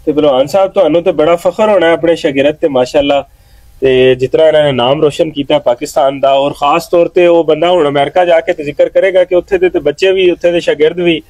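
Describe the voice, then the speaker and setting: A man asks long, courteous interview questions and keeps praising his guests. His voice sits somewhat high for a man. The sound outdoors is dry and close. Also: steady tone, fast, a little mellow, no echo. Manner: polite, long winding questions, frequent "mashallah". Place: outdoor lawn at night.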